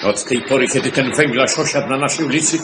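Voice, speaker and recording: An actor playing a talkative, grumbling gentleman lawyer with an emphatic, colloquial manner in a 1970s radio play. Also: mid-range voice, brisk, natural voice. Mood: exasperated. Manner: complaining, colloquial, emphatic repetition. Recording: noisy, echoey old radio recording.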